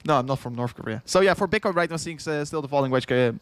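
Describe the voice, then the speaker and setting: A man's voice: on the high side, fast talker, clean tone, dry recording. Young Dutch-accented man, livestream host, repetitive rambling, worn out, dry close sound. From Netherlands. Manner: rambling, repeats himself, chuckles often, reads chat aloud. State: tired after hours of streaming. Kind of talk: casual livestream chat.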